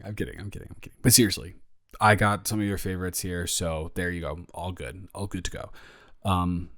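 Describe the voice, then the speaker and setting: A young man playing a caring, playful roommate with a crush in an improvised audio roleplay, rambling and halting in a casual, teasing way. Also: on the low side, dry recording, studio quality. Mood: playful, excited.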